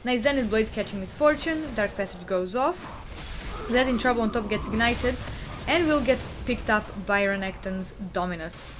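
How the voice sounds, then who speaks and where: A woman's voice: a little high, animated, moderate pace, background noise. Croatian-accented woman co-commentating a game. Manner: chatty, explanatory analysis with fillers. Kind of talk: color commentary on a video game.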